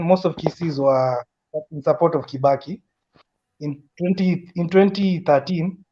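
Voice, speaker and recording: A man's voice: fairly high pitch, dark tone. A young Kenyan man with a Kenyan accent, calling into a discussion and arguing earnestly, often restarting his sentences. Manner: earnest, repeats and restarts while building an argument. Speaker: caller in a discussion. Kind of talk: casual opinion chat. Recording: dull lo-fi audio.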